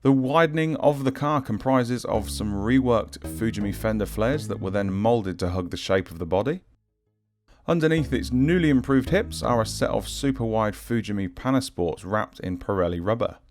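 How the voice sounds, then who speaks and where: A man's voice: fairly low pitch, animated, normal speed. A man giving a scripted voice-over as the host of a hobby showcase. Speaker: host of a scale-model showcase series. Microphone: handheld audio recorder. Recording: music under the voice. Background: guitar music.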